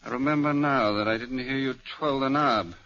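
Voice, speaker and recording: An actor playing a haughty, accusing wealthy gentleman in a 1940s radio melodrama, with an imperious, biting delivery. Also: medium pitch, normal speed. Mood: accusing, scornful. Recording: muffled 1940s radio broadcast recording.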